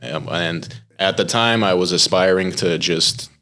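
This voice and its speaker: Young American man with a very low voice, relaxed and explanatory. He talks casually as a guest and fills his speech with "like" and "um.". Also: unhurried. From United States. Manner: relaxed, explanatory, with filler words and laughs. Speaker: chef and pitmaster, podcast guest. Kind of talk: casual interview talk.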